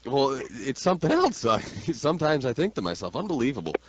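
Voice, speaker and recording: A man's voice: mid-range voice, very animated, a little fast. A man hosting a casual radio talk show about wrestling, heard on lo-fi audio. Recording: dull lo-fi radio audio, faint noise.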